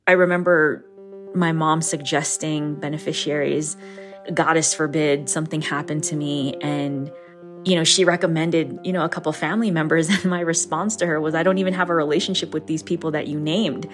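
Brief: 40s woman, low voice, thoughtful and measured. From United States. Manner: thoughtful, measured, occasional laugh. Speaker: interviewee sharing a story.

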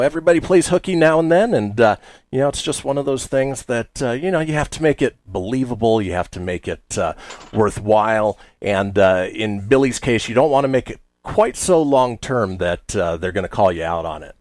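A man hosting a live radio talk show with an animated broadcast delivery. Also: fairly low pitch, no echo.

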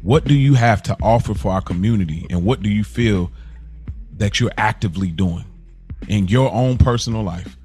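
An American man co-hosting a podcast, speaking casually in a halting, reflective, conversational way. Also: lowish voice, darkish tone. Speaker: podcast co-host. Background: music under the voice.

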